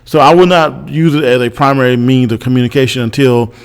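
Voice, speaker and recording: An American man talks through his opinions off the cuff, explaining things in a measured way and circling back to repeat points. His pitch is mid-range for a man, and his voice carries a long reverberant tail, as in a hall. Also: fairly even intonation, clean tone. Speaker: host giving consumer commentary. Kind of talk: unscripted opinion commentary.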